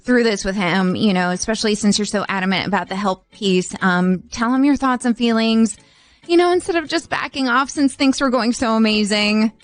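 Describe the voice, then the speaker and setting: A woman's voice: a little high, moderate pace. A woman hosting a radio call-in show, chatting casually with an informal, sympathetic manner.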